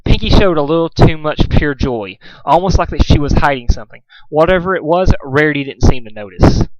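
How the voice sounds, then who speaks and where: A man's voice: a little high, fairly quick, dull audio. A man reading a story aloud as an amateur narrator, stumbling over and repeating phrases now and then. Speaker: fan fiction reader, narrator.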